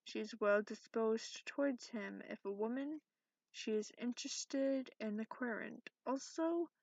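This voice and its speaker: Slow, gravelly sarcastic dragon voiced by a woman. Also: on the high side, a little mellow, no room sound. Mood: measured reading. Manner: sarcastic, haughty, grudging. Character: cranky sarcastic centuries-old dragon who looks young, reading tarot. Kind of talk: ASMR roleplay. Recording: dull lo-fi microphone sound.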